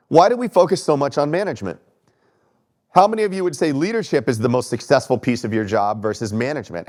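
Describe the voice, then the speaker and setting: A man's voice: fairly high pitch, a little mellow. A man in his thirties from Texas with a Texan accent, sounding stuffy from allergies, giving a conversational, self-deprecating conference talk from a stage. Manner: conversational, self-deprecating, asks audience questions. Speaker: keynote speaker. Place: stage in a lecture hall.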